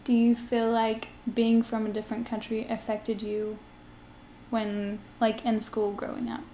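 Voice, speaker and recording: A woman's voice: a little high, completely flat delivery, measured pace, dark tone, echoey room, a little hiss or hum. A young woman asking interview questions casually and encouraging answers with mm-hmms.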